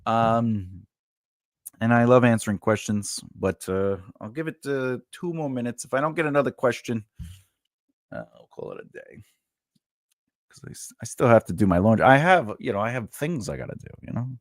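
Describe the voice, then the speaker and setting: Man, forties, writing teacher, casual chat, rambling, mid pitch, dry room. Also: normal speed, no echo. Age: 40s. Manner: chatty, rambling, laughs often. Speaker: writing teacher and developmental editor. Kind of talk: casual live-stream Q&A.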